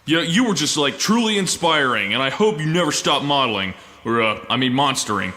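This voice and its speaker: Comic radio-play lumberjack, male actor, boisterous and rambling, forceful bright voice, hall reverb. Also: mid-range voice, lively intonation, a little fast. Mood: cheerful, boisterous. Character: goofy cheerful big lumberjack man aged thirty, easily scared. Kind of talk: comic audio drama acting.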